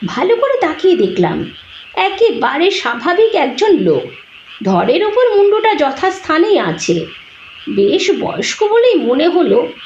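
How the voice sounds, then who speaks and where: A woman's voice: very high voice, very animated, a little slow, a little mellow. A woman storyteller narrating a horror story in a dramatic style. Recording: roomy, dull sound with clear noise.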